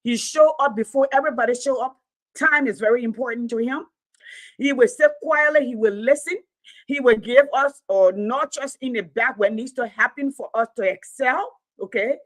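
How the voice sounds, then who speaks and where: A woman's voice: fairly high pitch, moderate pace, low-quality recording. A woman with a Liberian accent, telling a story in a casual talk show and often checking in with "okay?".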